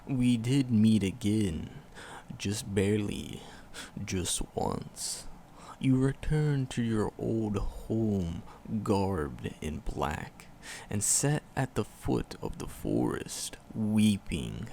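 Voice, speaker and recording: Slow, gravelly ancient forest demon. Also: male voice, fairly low pitch, expressive, mellow, slight background noise, heavily acted. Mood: sorrowful, regretful. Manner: slow, solemn, archaic phrasing, tender. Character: ancient male forest demon, tender, sorrowful, protective. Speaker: voice actor in audio roleplay. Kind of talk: ASMR roleplay monologue, dramatic storytelling.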